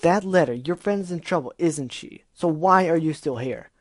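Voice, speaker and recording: A man doing Gilda, a furious shouting griffin. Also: mid-range voice, lively intonation, normal speed, no echo, studio quality. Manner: shouting, accusing, sarcastic. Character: angry young female griffin, blunt and confrontational.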